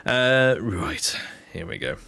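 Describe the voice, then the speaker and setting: A man's voice: low-pitched, very animated, slow pace, gravelly, bright, forceful tone. A young man with a blocked, nasal nose chatting casually on a game stream, rambling with lots of ums and restarts. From Britain. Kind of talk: casual live-stream chat.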